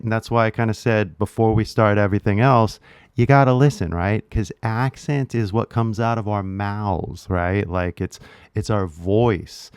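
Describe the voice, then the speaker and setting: A young man with a relaxed Californian accent talks through a lesson on the mic, easygoing and slangy, tossing in "right?" every few lines. His voice sits a little low and comes across smooth and resonant. The sound is dry and close. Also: no echo. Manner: laid-back, slangy, keeps asking "right?". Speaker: language teacher. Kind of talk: casual lesson to camera.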